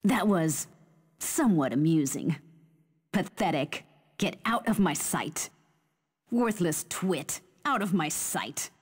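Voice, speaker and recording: Fierce fighting game lady, haughty taunts, really swoopy pitch, unhurried, big hall reverb. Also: animated, husky, full character voice. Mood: cruel, disdainful. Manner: haughty, theatrical, clipped declarations. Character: fierce female fighter taunting opponents in battle. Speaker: video game character voice. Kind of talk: dramatic fighting game battle quotes.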